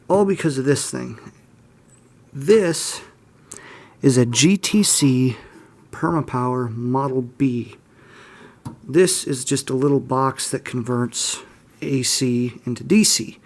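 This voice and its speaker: Male hobbyist host, early twenties. Mid-range pitch, informal and unscripted, readily admits what he doesn't know. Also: takes it slowly, a little mellow. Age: early 20s. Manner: unscripted, unsure, self-deprecating. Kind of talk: casual informal show-and-tell.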